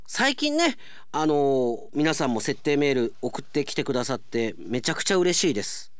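Male radio announcer, chatty mail-reading style, very animated pitch, close dry sound. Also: normal speed, clear, resonant tone, no room sound.

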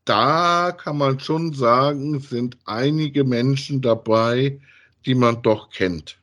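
A man's voice: mid-range voice, takes it slowly, fairly bright tone. A man chats casually as a podcast co-host, in colloquial phrases with frequent little tags seeking agreement. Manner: colloquial, often tags sentences with "ja". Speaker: co-host of a podcast about radio plays. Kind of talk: casual conversational chat.

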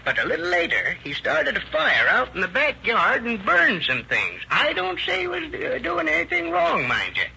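An actor playing a folksy, talkative neighbor giving a rambling, ungrammatical witness account in an old radio drama. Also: male voice, high-pitched, huge pitch swings, normal speed, vocal fry, few pauses, pushed and bright, husky, heavily acted. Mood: folksy, confiding. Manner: rustic, ungrammatical, rambling storytelling. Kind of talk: 1940s radio acting. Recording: old lo-fi radio recording with hiss.

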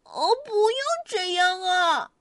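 A woman voicing an excitable, bold male rabbit adventurer who talks in eager exclamations for a children's storybook. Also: sits high, a little slow, no echo, clean studio sound, voice pushed up. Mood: excited.

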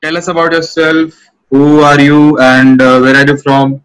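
A man hosting a relaxed one-on-one podcast, chatting and putting questions to his guest. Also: a little high, normal speed, smooth, soft background music, low-quality recording. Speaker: podcast interviewer. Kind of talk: casual interview chat.

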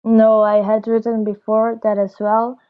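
A woman's voice: fairly high pitch, monotone, unhurried. A young woman in her twenties from Belgium, answering interview questions. She speaks hesitantly and searches for her words. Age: 20s. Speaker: storyteller guest. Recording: dull lo-fi audio.

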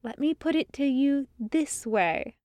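A woman's voice: high voice, lively intonation, a little slow, dark tone, dry recording, pitched above the performer's own voice. A woman voicing a sultry, wicked woman in a story, sly, blunt and suggestive. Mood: blunt, seductive.

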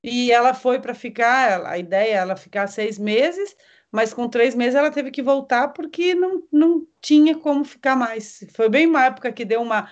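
A woman's voice: fairly high pitch, moderate pace, highs cut off. Chatty Brazilian woman interviewer. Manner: chatty, conversational, asks questions. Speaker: host. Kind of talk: casual interview chat.

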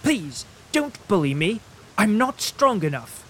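A man voicing a fussy, peevish, languid invalid gentleman who talks in an affected, whining way. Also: high-pitched, sing-song, slow, a little hiss or hum, voice pushed up, full character voice. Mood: plaintive.

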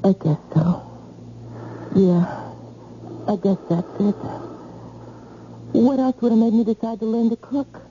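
A woman's voice: fairly high pitch, takes it slowly, long pauses, mellow. An actress playing a brash, flippant night-owl girlfriend in an old-time radio drama, with a breezy, slangy, teasing manner. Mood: conciliatory. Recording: muffled, noisy old radio recording.

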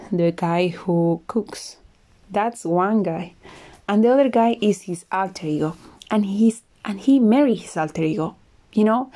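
Smoky-voiced woman, husky after a flu. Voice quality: smoky, low voice. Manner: reflective, chatty, with soft laughs and restarts. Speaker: podcast host. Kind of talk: casual solo podcast monologue.

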